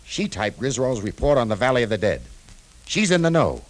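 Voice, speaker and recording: An actor playing a tough, shrewd cafe owner turned detective in a 1950s radio drama, terse and hard-boiled. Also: male voice, medium pitch, sing-song, fairly quick, natural voice. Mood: blunt, urgent.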